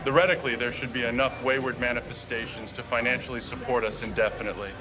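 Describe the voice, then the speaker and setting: A man's voice: mid-range voice, fairly even intonation, quick pace, natural voice. An actor voicing a bookish, literal-minded male scientist ghost hunter with precise, formal phrasing in a motion comic. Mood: precise, matter-of-fact. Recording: loud music bed under voice.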